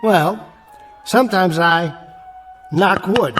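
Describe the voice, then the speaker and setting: A man's voice: sits high, slow, a bit bright, soft background music. An actor playing a genial, flustered American ventriloquist, the placating straight man in a radio comedy act.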